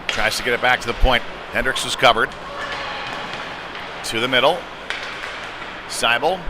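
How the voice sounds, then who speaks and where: A man doing informal, conversational live play-by-play commentary of a hockey game. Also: mid-range voice. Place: indoor community ice rink. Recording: noisy ice arena ambience.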